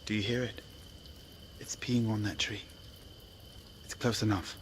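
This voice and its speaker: An actor playing a gruff, wry travelling companion out hunting in an audio game, low-key and dry. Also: male voice, fairly even intonation, measured pace, natural voice. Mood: hushed, watchful. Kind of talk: naturalistic audio game acting. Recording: noisy outdoor ambience with crickets.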